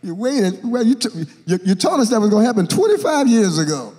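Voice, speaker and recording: A man's voice: sits high, fairly quick. An older man, a bishop, preaching a sermon on a church stage into a handheld mic, acting out Bible characters and joking with the congregation. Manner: animated, acts out Bible characters, jokes with the congregation. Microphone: handheld microphone. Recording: long reverb of a large church hall.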